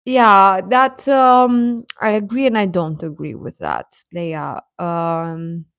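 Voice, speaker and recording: A woman hosting a livestream, chatting casually and intimately with viewers in a halting, confiding way. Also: mid-range voice, dry recording, clean studio sound.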